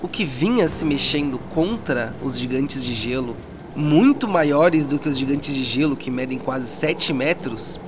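A man's voice: mid-range voice, expressive, a little slow, noisy background. A man narrating a tabletop game as game master, describing scenes dramatically. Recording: with music bed.

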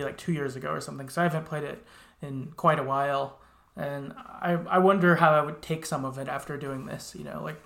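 A woman with a creaky voice chats casually about games. She sounds agreeable and a little tentative. The recording is roomy, with clear echo. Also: sits low, a bit bright. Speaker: podcast co-host discussing video games. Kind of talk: casual chat, game review.

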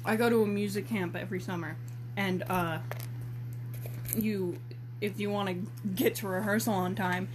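A woman's voice: mid-range voice, fairly even intonation, normal speed, long pauses, noisy background. A young woman chatting casually as a co-host, making giggly, informal jokes about a story being read aloud. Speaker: co-host reading dialogue and commenting. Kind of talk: casual comedic banter.

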